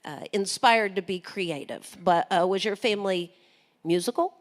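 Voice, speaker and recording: A woman's voice: medium pitch, normal speed. A woman hosting a live radio show, joking and folksy with plenty of fillers.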